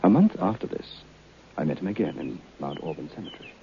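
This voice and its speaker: A man playing an earnest, polite gentleman narrator in an old-time radio drama. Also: low voice, wildly swooping pitch, fast, full character voice. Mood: earnest, sympathetic. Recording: muffled, faintly noisy old radio recording.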